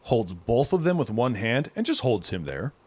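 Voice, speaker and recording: A man's voice: medium pitch, very animated, moderate pace, darkish tone, breathy edge. A man running a tabletop game as game master, narrating scenes in a casual, conversational, descriptive way.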